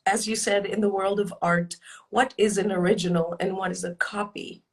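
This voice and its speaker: A woman's voice: medium pitch, fairly even intonation, normal speed. A woman hosting a casual interview, asking questions in a friendly, encouraging way. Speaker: interview host.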